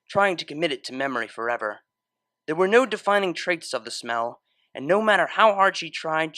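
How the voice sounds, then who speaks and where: A male storyteller reads scripted fiction with dramatic, literary phrasing. His voice sits slightly high and swings widely in pitch, and the recording is close and dry. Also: bright, forceful tone, no echo. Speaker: story narrator. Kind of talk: expressive scripted fan fiction narration.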